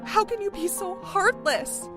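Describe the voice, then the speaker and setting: A frightened young woman acted by a woman pleads in an extremely high, breathless voice. She is tender and panicky, in a horror audio drama with loud music underneath. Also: unhurried. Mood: anxious.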